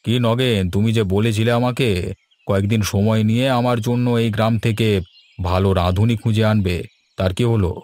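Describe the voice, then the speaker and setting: A man playing an authoritative, principled village gentleman who loves food, speaking firmly in a cartoon. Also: on the low side, steady tone, fairly quick, a little hiss or hum, natural voice. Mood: inquiring. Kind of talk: Bengali cartoon voice acting. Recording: music behind the voice.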